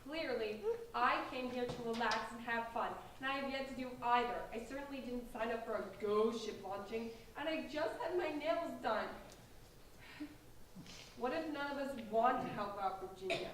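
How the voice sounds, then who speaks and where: A woman acts a snobbish teenage girl with a slightly high voice. She is sarcastic, bored and dismissive in a staged reading. It is heard from a distance in a very echoey room. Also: normal speed, slight background noise, natural voice.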